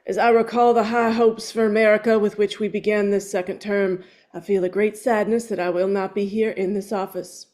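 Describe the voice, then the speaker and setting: A woman's voice: medium pitch, little pitch movement, brisk, hardly any pauses, darkish tone. A woman reading a screenplay aloud as narrator at a table read. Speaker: script reader and narrator.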